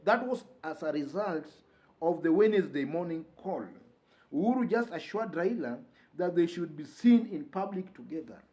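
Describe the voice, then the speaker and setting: A high-voiced man with a Kenyan accent delivers political commentary as a host. His intonation rises and falls widely as he talks. He is emphatic, restating key phrases to give them weight. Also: a little mellow. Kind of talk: news commentary to camera or listeners.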